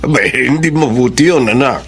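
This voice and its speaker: A man acting a concerned, paternal older father and grandfather in a Filipino radio drama, gently admonishing. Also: mid-range voice, normal speed, slight background noise, close to an everyday voice.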